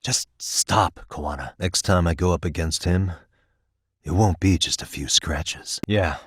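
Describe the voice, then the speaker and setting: A man playing an earnest, tough, principled private detective in a video game, speaking in a measured, probing way. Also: low-pitched, slightly rough, dry recording, clean studio sound. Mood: low, serious. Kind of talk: dramatic video game voice acting.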